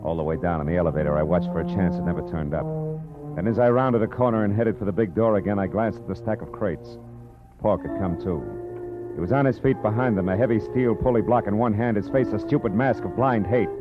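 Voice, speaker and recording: An actor playing a wry, terse, hard-boiled private detective who also narrates in the first person, in 1940s radio style. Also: male voice, lowish voice, fast, loud music behind the voice, close to an everyday voice. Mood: narrating. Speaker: narrator and lead character. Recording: dull lo-fi old radio recording.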